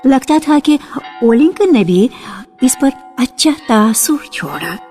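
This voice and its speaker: A woman narrating a literary radio drama in an expressive, deliberate storytelling style, lingering on key words. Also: on the high side. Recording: archival radio broadcast with faint music. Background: faint music.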